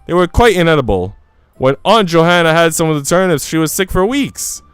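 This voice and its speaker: A man's voice: a little high, big pitch swings, brisk, clear, resonant tone, no room sound, soft background music, pitched above the performer's own voice. A man voicing a gossipy, long-winded young Swiss maid from a visual novel. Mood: earnest, rambling. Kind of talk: visual novel character reading.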